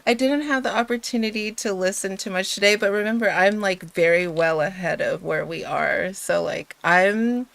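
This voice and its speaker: A woman's voice: medium pitch, moderate pace, gravelly, hardly any pauses, fairly bright tone. A sleepy woman who has just woken, hosting a casual livestream chat before a bedtime reading, giggly and rambling. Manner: giggly and rambling, with many fillers. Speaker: livestream host.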